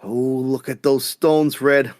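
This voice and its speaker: A man playing a calm, commanding, ruthless crime boss in an old-time radio drama. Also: mid-range voice, slow pace, dry recording, studio quality, close to an everyday voice. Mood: smooth, controlling. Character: smooth, ruthless male crime boss of a gang.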